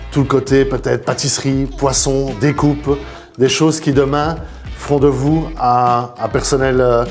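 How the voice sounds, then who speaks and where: A man's voice: medium pitch, a little mellow. A man, a restaurant chef, speaks in a mid-range voice. He stumbles over his words now and then. Faint music plays under him. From Belgium. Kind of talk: promotional testimonial.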